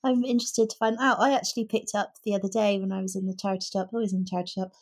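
Female, average pitch, podcast co-host, informal book chat, chatty, thinking aloud. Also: fairly even intonation, rapid-fire.

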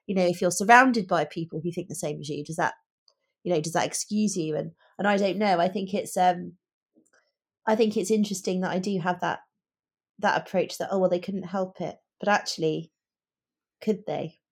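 A woman's voice: on the low side, normal speed, husky. A woman co-hosting a book discussion, talking in a reflective, articulate and questioning way. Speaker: podcast co-host, teacher.

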